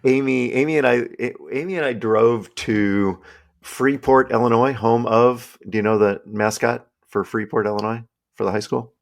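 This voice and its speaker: A man co-hosting a casual podcast, his voice hoarse and raspy from yelling, joking and laughing as he talks. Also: medium pitch, fairly even intonation, normal speed. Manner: joking, laughs often, many restarts. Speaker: podcast co-host. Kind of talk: casual chat.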